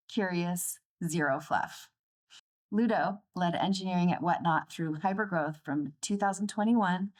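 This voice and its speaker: Host: woman, somewhat low voice, bright eager delivery, interviewer. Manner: upbeat, eager. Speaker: podcast host. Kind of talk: scripted intro and interview.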